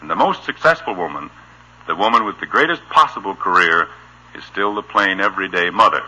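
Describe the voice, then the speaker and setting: A man's voice: low voice, expressive, brisk, exaggerated voice, poor audio. An actor playing an exasperated but tender middle-aged American father in a 1950s radio sitcom. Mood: gently earnest. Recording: bright, rough old radio recording.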